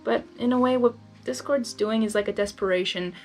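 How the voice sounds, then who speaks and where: A woman's voice: fairly high pitch, brisk, darkish tone, faint music behind. A woman reading a story expressively, dropping in casual asides as she goes. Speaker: fan fiction reader.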